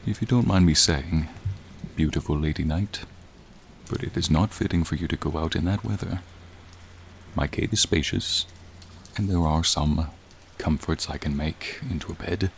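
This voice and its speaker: ASMR roleplay: gentle, courtly dragon, male actor, unhurried and creaky, wistful and soothing storyteller's manner. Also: deep voice. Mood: gentle, protective. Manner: slow, formal, tender, reflective storytelling. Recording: faint rain noise behind. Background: rain ambience.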